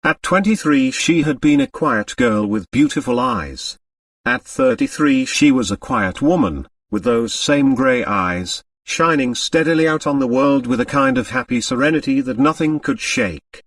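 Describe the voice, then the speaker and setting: A man narrating an audiobook in an expressive, scripted storytelling style. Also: mid-range voice, measured pace, no room sound, far from the mic.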